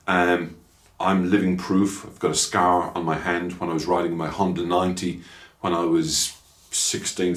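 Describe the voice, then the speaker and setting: A middle-aged Irish man in his fifties, chatting casually as he reviews motorcycle gloves at home, rambling with dry asides. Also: low-pitched. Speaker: motorcycle vlogger. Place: small room at home, indoors. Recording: echoey small room.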